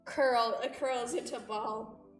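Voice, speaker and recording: A woman's voice: a little high, fairly even intonation, slow pace. A woman livestreaming a visual novel, chatting and reacting with playful, exaggerated drama. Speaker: game streamer. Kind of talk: livestream chat and read-aloud of a visual novel. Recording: roomy echo, distant mic, music behind.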